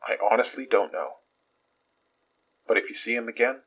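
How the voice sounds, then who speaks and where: An actor playing a calm, reticent protector in an audio horror drama, speaking in a measured, soft, husky voice. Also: male voice, medium pitch, muffled recording, natural voice. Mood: reassuring. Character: calm, guarded male protector reassuring a frightened victim.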